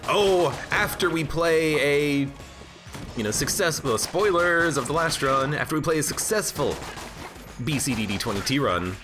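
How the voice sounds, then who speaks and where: Stuffed-up man streaming a game, animated. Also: on the high side, normal speed. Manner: rambling, self-joking, chatting to viewers. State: stuffed up from allergies. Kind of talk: casual let's-play commentary. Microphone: own desk microphone. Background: game music and gunfire.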